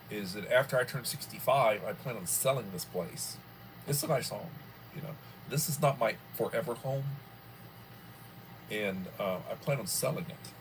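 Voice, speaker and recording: A man in his early sixties hosting a casual livestream from home, rambling and filling pauses often. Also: medium pitch, slight background noise, poor audio. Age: 60s. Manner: rambling, with many fillers. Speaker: livestream host.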